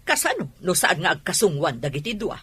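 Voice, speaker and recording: A woman acting a haughty, disapproving mother in an Ilocano radio drama. The voice is husky and swings widely up and down, with an Ilocano accent. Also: lowish voice, sing-song, brisk, bright, forceful tone, exaggerated voice. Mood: scheming, worried. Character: disapproving, haughty middle-aged mother who looks down on farm folk.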